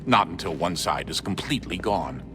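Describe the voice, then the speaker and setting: An actor playing a stern, world-weary middle-aged father in a video game, speaking in a measured, matter-of-fact way. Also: low-pitched, animated. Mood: firm. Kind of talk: video game cutscene acting.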